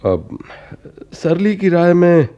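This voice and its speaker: An actor in a radio play playing a middle-aged, avuncular and self-absorbed theatre director, deliberate and mixing English words into his speech. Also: high-pitched, big pitch swings, mellow, lo-fi sound, full character voice. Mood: resigned, defensive.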